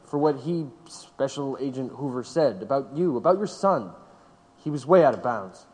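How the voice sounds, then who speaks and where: Casting: actor as gentle male agent, placating and hesitant; medium pitch, long reverb, radio drama. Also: animated, moderate pace, natural voice. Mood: apologetic. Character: apologetic male federal agent questioning gently. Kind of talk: audio drama acting.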